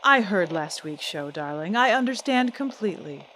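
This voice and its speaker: A woman's voice: mid-range voice, lively intonation, normal speed, sharp tone, slight background noise. A woman playing a glamorous, affectionate 1930s celebrity as a radio guest, in a theatrical, affected manner. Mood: gracious, coy. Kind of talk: 1930s radio drama acting.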